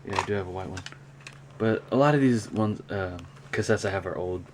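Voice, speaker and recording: A man talking casually and unscripted in a hobby show-and-tell vlog, with a rambling, halting delivery. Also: low voice. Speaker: hobby vlogger, cassette collector. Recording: clear background noise.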